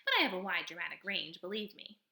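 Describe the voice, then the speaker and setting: A woman's voice: on the low side, expressive, fast talker, pushed and bright. A woman voicing a chatty female possum in a storybook, dramatic, boastful and easily startled. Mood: boastful, theatrical. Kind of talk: storybook character read-aloud.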